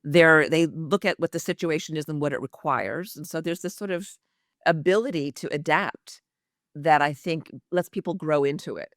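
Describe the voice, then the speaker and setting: A woman's voice: low voice, normal speed, dry recording, studio quality. A woman who is an executive communication coach speaks expressively and emphatically in a podcast interview, with the practiced delivery of a trained actress.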